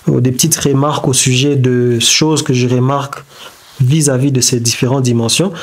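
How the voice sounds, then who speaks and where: A man whose first language is not French gives a reflective motivational talk, halting and searching for words with many restarts. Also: on the high side. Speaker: self-development video creator. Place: indoors beside a whiteboard diagram.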